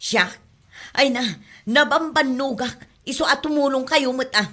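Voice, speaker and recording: A woman with an Ilocano accent playing a weary, scolding middle-aged mother in an audio drama, with a husky voice. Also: high-pitched, measured pace, pushed and bright, full character voice. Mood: exasperated, weary.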